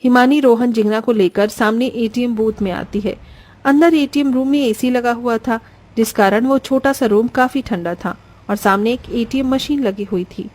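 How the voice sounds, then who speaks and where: High-pitched woman storyteller. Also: normal speed, darkish tone, low-quality recording. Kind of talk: scripted children's cartoon narration. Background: faint music.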